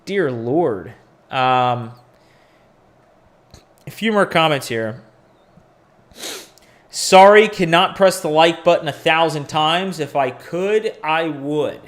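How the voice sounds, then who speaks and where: A man's voice: fairly high pitch, expressive, slow, long pauses. A man in his forties hosting a crypto live stream, talking casually and candidly, rambling with profane asides. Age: 40s. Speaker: crypto podcast host.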